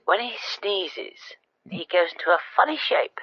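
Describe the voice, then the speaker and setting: An actress playing a dreamy, earnest wife phoning a spoof radio show, halting and dramatic, dropping into breathy hushes. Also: female voice, mid-range voice, animated, no room sound. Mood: earnest, wistful. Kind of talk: spoof radio phone-in comedy. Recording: dull lo-fi phone-in line.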